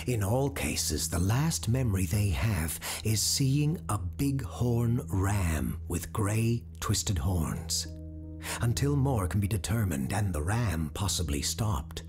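A man hosting a scripted horror radio drama as a parapsychologist, with a hushed, grave, deliberate delivery. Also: on the low side. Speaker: radio show host.

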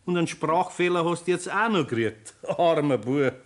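A man's voice: a little high, lively intonation, normal speed, natural voice. An actor playing a grumbling, fatherly older craftsman with a Bavarian accent in a 1960s radio play, chiding in a folksy way. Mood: knowing, teasing.

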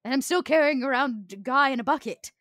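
A woman playing a tabletop roleplaying game, narrating her character in an expressive, playful way. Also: sits high, brisk, no echo, clean studio sound. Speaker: tabletop player.

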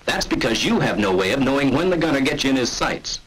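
A man narrates a 1940s military training film in a measured, authoritative way. His voice is husky, with a lively, rising and falling pitch. It sounds like an old film soundtrack. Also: low-quality recording. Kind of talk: scripted instructional narration.

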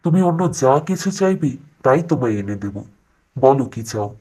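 A man acts out a haughty, lovestruck king from an animated fairy tale. His medium-pitched voice is rough and husky. He speaks with courtly indulgence and an air of command. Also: normal speed. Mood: indulgent, courting. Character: smitten, haughty king with a magic staff.

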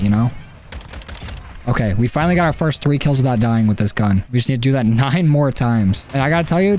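A young man in his twenties talks casually over gameplay, his voice hoarse and not fully back. He rambles and swears now and then into a headset at home. A faint hum of ambience sits behind him. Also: medium pitch, darkish tone. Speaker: gaming YouTuber. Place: home gaming setup, indoors.

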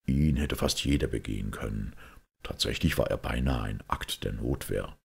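A man voicing a grave, thoughtful priest detective who explains things in a measured, sombre way in an audiobook. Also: rumbling low, vocal fry, breathy edge, heavily acted. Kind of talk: audiobook character acting.

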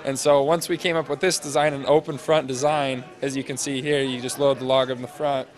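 A man in his twenties, a student interviewee, explaining a project off the cuff in casual, halting phrases into a handheld mic. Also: medium pitch, little pitch movement, soft background music, some chatter behind. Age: 20s. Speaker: interviewee, senior engineering student presenting a project. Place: large glass-walled university hall or lobby. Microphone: handheld interview microphone held out to him.

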